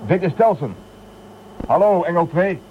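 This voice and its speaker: A man's voice: high voice, animated, measured pace. A man playing a weary, sardonic spaceship commander in a radio drama, dry and resigned. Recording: dull lo-fi band, faint hiss.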